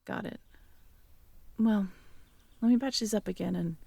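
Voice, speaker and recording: A woman acting a caring, teasing, curious young girlfriend in an intimate roleplay audio drama, talking softly and conversationally to the listener. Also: mid-range voice, a little slow, creaky, slightly rough, no room sound. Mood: gentle concern. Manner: soft, intimate, conversational, playful.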